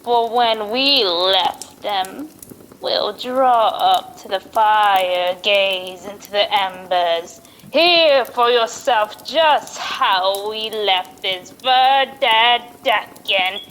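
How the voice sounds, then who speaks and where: A woman's voice: mid-range voice, very slow, a bit bright, slight background noise, low-quality recording. A woman playing a sly, hundred-year-old witch who tells spooky tales in folksy rural dialect, in old-time radio style. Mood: sly, gleeful. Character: cackling ancient witch, rustic storyteller, aged over a hundred. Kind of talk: old-time radio horror host acting.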